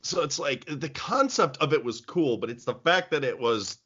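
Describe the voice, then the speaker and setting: A man's voice: a little high, expressive, normal speed, fairly bright tone, husky, dull audio. A man co-hosting a casual podcast chat about movies, rambling with many restarts. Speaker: podcast co-host.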